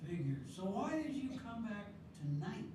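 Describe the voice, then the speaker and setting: An older man reading the part of a stubborn, opinionated elderly man in an amateur readers theatre piece, slow and plainspoken. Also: high-pitched, sing-song. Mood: bickering. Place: senior center hall. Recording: echoey hall from far off, noisy room.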